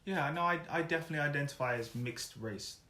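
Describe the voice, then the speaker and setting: A man's voice: a little high, fast, pushed and bright, echoey room. A young man, chatting easily as an interview guest, laughing often and trailing off with fillers. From Wales. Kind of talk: casual interview chat.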